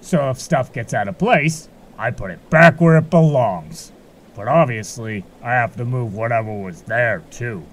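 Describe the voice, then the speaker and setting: A man's voice: mid-range voice, measured pace, slight background noise, lightly acted. A man playing a folksy, laid-back but grumbling keeper of a magical marsh in an amateur audio drama. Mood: defensive.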